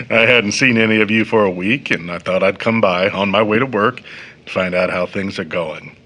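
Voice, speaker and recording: A man's voice: on the low side, normal speed, pushed and bright. An actor playing a polite, earnest and sympathetic working man in a stage-style audio drama. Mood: courteous, friendly. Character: courteous, sympathetic working man, family friend.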